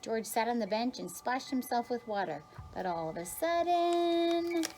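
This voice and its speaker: A woman's voice: on the high side, animated, a little slow, darkish tone, soft background music. A woman teacher reads a children's picture book aloud expressively, drawing out key words for emphasis. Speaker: kindergarten teacher reading aloud.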